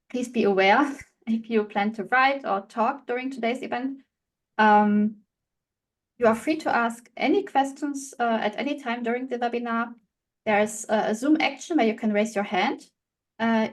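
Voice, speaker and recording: A woman moderates an informal webinar. Her voice is fairly high, and she talks the audience through practical instructions. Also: moderate pace. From Germany. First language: German.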